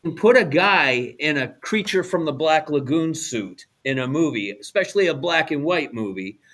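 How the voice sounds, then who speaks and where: A man's voice: on the high side, lively intonation, moderate pace, fairly bright tone. An American man, middle-aged or older, co-hosting a radio show with casual, explanatory chat and frequent check-ins with the listener. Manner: conversational, checks in with "right?".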